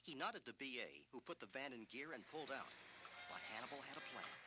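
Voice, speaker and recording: A man narrates an eighties action story record in a theatrical, suspenseful way. His mid-pitched voice moves in lively swings, with music clearly playing behind him. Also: fast. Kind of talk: dramatic scripted story narration for a 1980s record.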